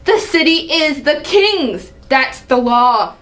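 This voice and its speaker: A woman acts out a proud, angry king from Greek tragedy. The voice sits fairly high and carries imperious indignation. It is a dramatic reading of a father berating his son. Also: lively intonation, slow pace, a bit bright, full character voice. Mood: indignant.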